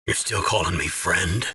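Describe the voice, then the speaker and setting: An actor playing a grave older colonel in a video game, solemn, measured and authoritative. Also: male voice, deep voice, bright, forceful tone, slightly rough, no echo, heavily acted. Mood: surprised. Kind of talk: dramatic video game voice acting.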